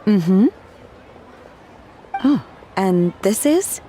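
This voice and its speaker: A woman's voice: medium pitch, expressive, very slow, mellow. A woman playing a polite, earnest, literal-minded young waitress and disciple in a video game, composed and matter-of-fact. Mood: polite, businesslike. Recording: faint music behind the voice.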